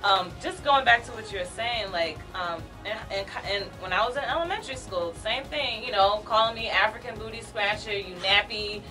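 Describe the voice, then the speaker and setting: A woman's voice: medium pitch, normal speed, hardly any pauses, low-quality recording. Chatty young American woman on radio. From United States. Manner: candid and chatty, with frequent fillers. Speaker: radio show co-host. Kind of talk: casual talk radio discussion. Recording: music clearly behind the voice.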